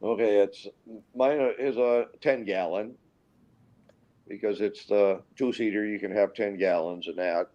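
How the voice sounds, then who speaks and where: A man in his sixties from Minnesota, a guest pilot telling stories in a rambling, halting way with many restarts. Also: on the low side, steady tone, mellow, highs cut off. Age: 60s. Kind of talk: casual storytelling interview.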